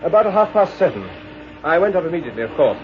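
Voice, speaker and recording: An actor playing an anxious, officious hotel manager in an old-time radio mystery, brisk, proper and apologetic. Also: male voice, a little high, sing-song, a little hiss or hum. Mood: businesslike. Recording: dull vintage radio recording.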